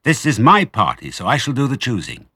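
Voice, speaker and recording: A crafty, jovial fox father in a storybook reading, raised a little above the reader's own deep voice, as a man puts him on. He coaxes and commands with theatrical self-assurance. The sound is dry and close. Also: expressive, normal speed, fairly bright tone, dry recording. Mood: gleeful, commanding. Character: sly, jovial male fox father, cunning and generous. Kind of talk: audiobook character reading.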